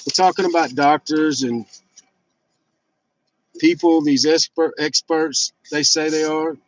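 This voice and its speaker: A man's voice: a little high, fairly even intonation, a little slow, no room sound, poor audio. A man in his fifties talking casually and candidly in a rambling, blunt, confessional personal vlog. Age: 50s. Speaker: vlogger sharing fasting and fitness journey.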